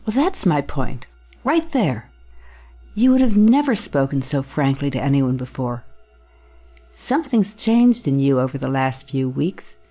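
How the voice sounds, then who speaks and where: A low-pitched female school staff member, played by an actress, speaks in a measured, coaxing way. She is concerned and probing, in an audio drama with music underneath. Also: big pitch swings, mellow, exaggerated voice.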